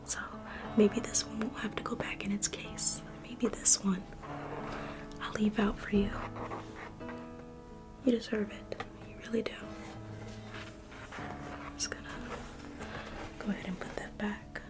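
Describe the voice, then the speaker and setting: A woman in an ASMR roleplay, playing a private museum guide in a slow, coaxing whisper that repeats phrases. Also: steady tone, over music. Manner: coaxing sales-pitch tone.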